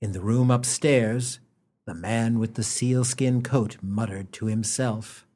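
A man's voice: medium pitch, fairly even intonation, slow, warm tone. A man narrating a radio play as a scripted storyteller. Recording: noticeable background noise.

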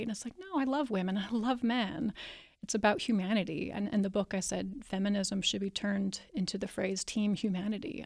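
A creaky-voiced woman you'd hear as the frank, self-deprecating guest on a close, dry studio book interview. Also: medium pitch, no echo. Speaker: author being interviewed. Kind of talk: candid personal storytelling in an interview. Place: radio studio, in person.

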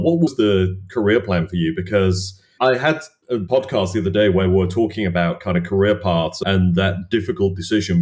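A man's voice: sits low, a little mellow. A man hosting a podcast, asking conversational, loosely phrased questions. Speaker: podcast host. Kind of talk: interview questions.

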